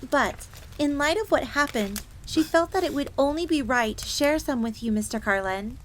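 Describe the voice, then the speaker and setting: A woman's voice: sits high, expressive, moderate pace. A woman playing a sweet, timid, eager young bunny maid who stammers, speaks deferentially and refers to herself in the third person in a scripted roleplay drama. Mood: polite. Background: ambience, crackling fire.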